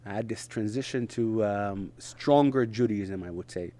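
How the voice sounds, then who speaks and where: A middle-aged man from Israel, a native Hebrew speaker, talking in English as an interview guest in short, halting phrases with frequent restarts. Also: lowish voice, warm tone. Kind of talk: conversational interview.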